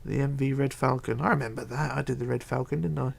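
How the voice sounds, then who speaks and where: A man hosting a casual livestream, reading chat aloud and chatting in a rambling, halting way. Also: medium pitch, steady tone, vocal fry. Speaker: Minecraft livestreamer.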